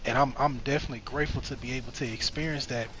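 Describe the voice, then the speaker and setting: A man's voice: medium pitch, fairly even intonation, rapid-fire. Young male streamer, rambling spiritual musings mid-game, filler-heavy, noisy recording. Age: 20s. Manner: rambling, repeats phrases, many "you know what I mean" fillers. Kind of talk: reflective spiritual talk while gaming. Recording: noticeable background noise.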